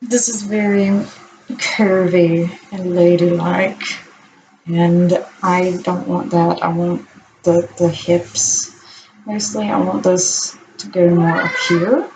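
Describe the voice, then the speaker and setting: A woman with a naturally deep voice talking candidly at home to her camera in a casual video diary, unhurried and full of ums. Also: darkish tone, slight background noise, rough recording. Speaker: vlogger.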